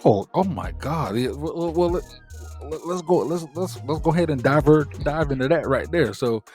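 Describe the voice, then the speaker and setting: A man's voice: fairly high pitch, expressive, normal speed, a little mellow, soft background music. A man hosting an interview in casual chat, informal and slangy, reacting eagerly to his guest. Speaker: interviewer, radio show host.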